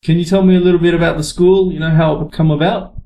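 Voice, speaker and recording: A man's voice: fairly high pitch, fairly even intonation, fast, dark tone, a little hiss or hum, low-quality recording. A man hosting a casual interview podcast, friendly and loose, with fillers like "um" and "mate". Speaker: podcast host.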